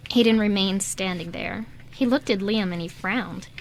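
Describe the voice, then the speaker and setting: A woman's voice: mid-range voice, normal speed, low-quality recording. A woman reading scripted third-person narration as the narrator of an amateur radio drama. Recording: light background noise and ambience.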